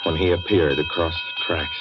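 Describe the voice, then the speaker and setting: Deep-voiced haunted motorist, old radio. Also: male voice, fairly even intonation, heavy background noise. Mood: haunted, grim. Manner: measured, dramatic, brooding delivery. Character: haunted, increasingly frantic male motorist telling his eerie tale. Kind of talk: 1940s radio drama acting, first-person suspense narration. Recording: muffled old radio recording with loud music.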